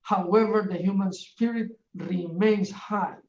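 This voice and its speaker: A middle-aged man from Ecuador, chairing a webinar in a formal, earnest opening address, halting often with fillers. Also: sits high, darkish tone. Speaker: webinar chair, UN division director. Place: office in a large UN building. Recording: echoey, distant and dull-sounding.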